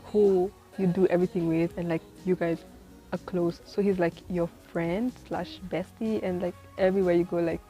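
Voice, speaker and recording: A woman's voice: lowish voice, fairly even intonation, moderate pace, warm tone, soft background music. Chatty, rambling twenty-year-old woman. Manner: chatty, rambling, full of "like" and "you know"; laughs often at herself. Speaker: vlogger giving life advice. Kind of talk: casual rambling monologue to camera. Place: in the city where she lives.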